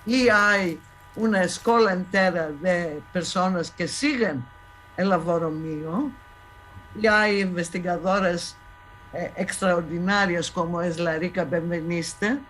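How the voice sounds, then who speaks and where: Woman in her 70s, salonica ladino accent, talks slow and firm, keeps restarting. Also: fairly low pitch, fairly bright tone, a little hiss or hum, poor audio. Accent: Thessaloniki Judeo-Spanish. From Thessaloniki, Greece. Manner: emphatic, insistent, with halting restarts. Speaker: guest historian. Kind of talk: conversational interview.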